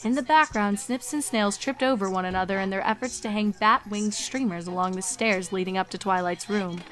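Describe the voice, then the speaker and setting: A woman reading scripted fan fiction as a storybook-style narrator, measured and descriptive. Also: medium pitch.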